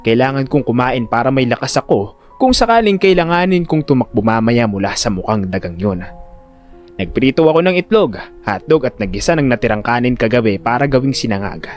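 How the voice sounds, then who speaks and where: A man narrating a first-person audio drama in an expressive, conversational storytelling manner. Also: medium pitch, a little fast, faint music behind.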